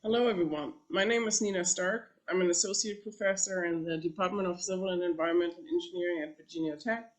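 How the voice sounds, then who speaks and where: A woman's voice: on the low side, steady tone, fast talker, hardly any pauses, rough recording. A woman from Germany with a German accent, a professor presenting to a panel in a conversational way with small restarts. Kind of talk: presentation talk.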